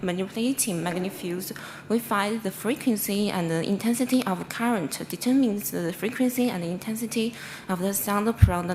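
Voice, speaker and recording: A woman with a Chinese accent delivers an academic talk at a medium pitch. Her English is halting, with stumbles and restarts as she explains like a researcher at a conference. Faint noise runs through the recording. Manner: halting, non-fluent English with stumbles and self-corrections. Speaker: researcher presenting a paper. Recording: clearly noisy presentation recording.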